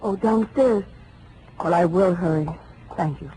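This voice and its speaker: Actress as a proud, lovelorn dame in old radio. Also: female voice, on the low side, measured pace, natural voice. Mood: polite, hesitant. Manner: dramatic, earnest. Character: passionate, proud lovelorn female suspect, refined. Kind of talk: 1940s radio melodrama acting. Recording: old radio broadcast, faint noise.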